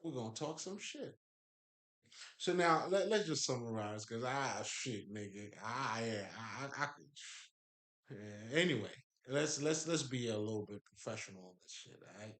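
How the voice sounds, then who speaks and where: A man's voice: mid-range voice, expressive, moderate pace, a bit bright. Haitian-accented man in an echoey room. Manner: loose, profane, emphatic, laughs often. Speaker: spiritual speaker. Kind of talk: casual spiritual talk.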